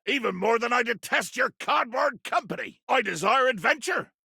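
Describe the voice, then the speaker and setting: An actor playing a blustering, proud pirate captain in a video game, with a gravelly, raspy voice and pompous, old-fashioned seafaring speech. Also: male voice, very high-pitched, expressive, moderate pace, bright, forceful tone, no echo, clean studio sound, heavily acted. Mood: eager, commanding. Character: blustering, theatrical pirate captain, bearded, proud, adventure-hungry. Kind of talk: video game character acting.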